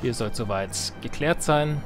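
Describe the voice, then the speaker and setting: A man's voice: mid-range voice, measured pace. A man giving casual, slangy gameplay commentary, thinking aloud as he plays. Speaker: let's play host. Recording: game music behind the voice. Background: strategy game music and game sounds.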